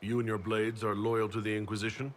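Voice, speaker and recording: A man playing a composed, earnest fantasy hero in a video game, measured and inquisitive. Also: lowish voice, little pitch movement, a little hiss or hum, natural voice. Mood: formal, questioning.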